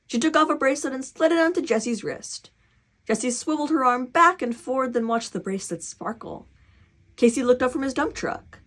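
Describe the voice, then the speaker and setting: Animated woman reading a children's storybook. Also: high voice, a little fast. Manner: animated, dramatic, cheering reader. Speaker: picture book read-aloud host. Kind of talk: expressive children's storybook reading with excited asides.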